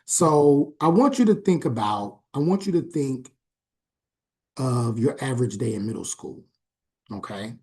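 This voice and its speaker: A man giving a recorded class lecture in a conversational way, often checking in with "right?" and pausing on "um". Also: mid-range voice, expressive, warm tone. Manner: conversational, frequent "right?" check-ins and "um" pauses.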